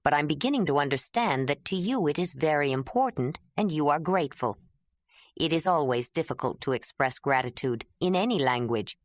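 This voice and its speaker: A woman doing a gentle, patient man. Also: deep voice, a little fast, no echo, clean studio sound. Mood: gentle, reassuring. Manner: calm, reassuring.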